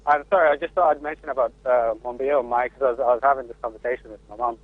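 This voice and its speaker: A man's voice: medium pitch, fairly even intonation, rapid-fire, dry recording. A man with a Zimbabwean accent calls in to a radio panel, hesitating and restarting often. His voice comes over a thin, phone-like line with no room sound. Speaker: panelist, father. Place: remote call from the UK.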